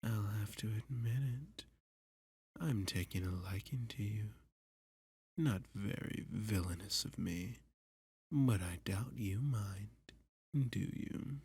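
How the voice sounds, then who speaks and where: A man playing a dominant, flirty, possessive villain kidnapper in an ASMR roleplay, teasing and seductive, with a breathy, husky voice that often drops to a whisper. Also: fairly low pitch, a little mellow, dry recording, heavily acted. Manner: slow, teasing, seductive, with pet names. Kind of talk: ASMR roleplay addressed to the listener.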